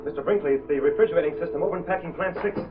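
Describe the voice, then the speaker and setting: A man's voice: on the high side, rapid-fire, noisy background, natural voice. Worried company man for an old radio serial, played by a male actor: racing delivery, muffled lo-fi recording. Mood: hurried, worried. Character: hurried, anxious male packing plant employee. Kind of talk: 1930s radio drama acting.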